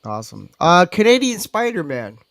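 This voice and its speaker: A man with the flu, his voice deeper than usual, hosting a casual, joking round-table podcast. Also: sing-song, quick pace, vocal fry, fairly bright tone. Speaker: podcast host. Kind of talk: casual group podcast chat.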